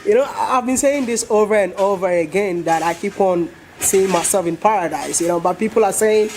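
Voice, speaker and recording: A woman's voice: medium pitch, a little fast, darkish tone, a little hiss or hum. A woman vlogger interviewing people in a playful, teasing way, laughing often. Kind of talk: casual street interview.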